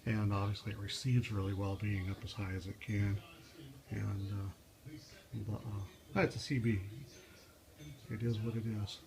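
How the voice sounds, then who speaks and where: A man talking through a casual, unscripted DIY car how-to as a vlogger, halting and rambling as he thinks aloud. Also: lowish voice, steady tone, creaky, a crowd in the background.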